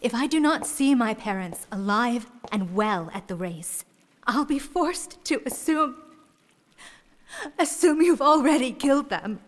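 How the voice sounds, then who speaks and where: An actress playing a shrewd, composed young woman in a fantasy audio drama, speaking formally and deliberately. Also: a little high, expressive, long reverb tail. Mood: defiant, measured.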